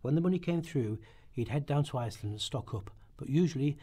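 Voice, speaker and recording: Male narrator for radio documentary drama, mellow and somewhat raspy, reading from a script. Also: medium pitch, expressive, brisk.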